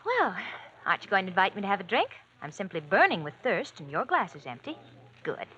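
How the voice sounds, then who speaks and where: An actress playing a rich young woman in an old radio drama, coy, sugary and quietly scheming. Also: mid-range voice, very animated, fairly quick. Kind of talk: 1940s radio acting. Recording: dull old radio recording, faint hiss.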